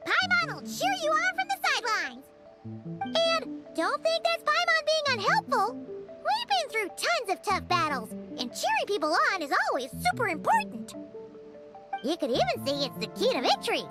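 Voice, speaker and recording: A woman voicing a tiny, plucky, childlike fairy companion in a video game, squeaky and cartoonish, speaking of herself in the third person. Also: wildly swooping pitch, normal speed, exaggerated voice. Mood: self-important. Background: loud game music.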